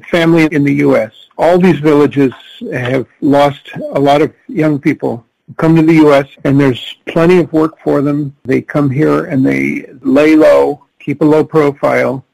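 A man with a gravelly, somewhat high voice answers interview questions in a measured, earnest way. He grew up in Costa Rica and speaks as a guest musician. The sound is close and dry, with no room around him. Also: a little mellow, no echo, rough recording.

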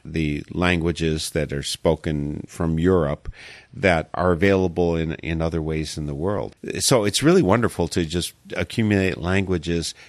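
A man hosting a radio interview program, speaking in earnest, measured appeals to listeners over a studio mic. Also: deep voice, no echo. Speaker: radio host.

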